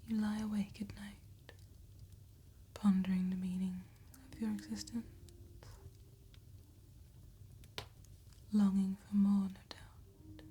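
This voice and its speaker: A woman playing an ancient, calm and seductive female vampire tattooist in a soft-spoken, whispered ASMR roleplay, with measured, formal phrasing. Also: mid-range voice, slight background noise, close to an everyday voice. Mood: wistful, knowing. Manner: slow, measured, formal, old-fashioned phrasing.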